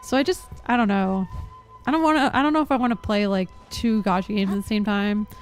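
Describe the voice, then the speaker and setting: A woman's voice: medium pitch, brisk, faint music behind. A young adult woman chatting casually as she streams a game, rambling in an informal, unsure way. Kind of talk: casual live stream chat.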